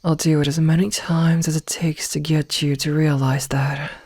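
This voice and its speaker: A woman playing a possessive, menacingly loving female werewolf in an ASMR roleplay, speaking softly and coldly, often slipping into a whisper. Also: low-pitched, level tone, husky, no room sound. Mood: tenderly possessive. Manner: cold, callous, deliberate word delivery. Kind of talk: ASMR roleplay, slow intimate delivery.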